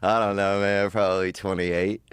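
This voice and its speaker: A man's voice: fairly low pitch, little pitch movement, moderate pace, clean tone. A man telling funny stories in a casual, crude, slangy buddy style full of swearing. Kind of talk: casual comedic storytelling.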